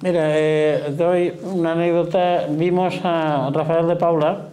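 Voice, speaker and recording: A middle-aged man moderating a talk as club president, speaking in a measured, deliberate way. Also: a little high, little pitch movement, mellow. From Spain.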